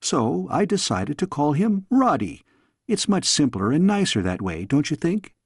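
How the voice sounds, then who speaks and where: A man's voice: on the high side, very animated, normal speed, breathy edge, dry recording, studio quality, pitched above the performer's own voice, full character voice. A man voicing a young princess, an earnest, chatty and headstrong daughter in a storybook. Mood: breezy, chatty.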